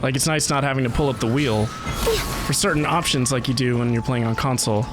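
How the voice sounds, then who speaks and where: A game streamer giving casual live commentary, excitable and playful, with chatty asides. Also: mid-range voice, brisk, music in the background. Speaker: livestreamer playing a video game. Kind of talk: casual livestream commentary and chat.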